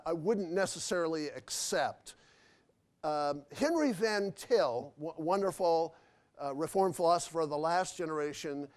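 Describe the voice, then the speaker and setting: A man fields audience questions after a lecture in a hotel convention hall. His voice sits high for a man, and he wanders through his answers with frequent fillers and restarts. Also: a little mellow. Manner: rambling, many fillers and restarts.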